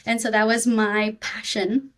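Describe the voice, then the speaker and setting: Woman, native Spanish speaker from Peru, slight accent, somewhat high voice, sincere podcast guest. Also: level tone, measured pace, a bit bright. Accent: Peruvian Spanish. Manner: earnest, grateful, with frequent ums. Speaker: school district supervisor, guest. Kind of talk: podcast interview.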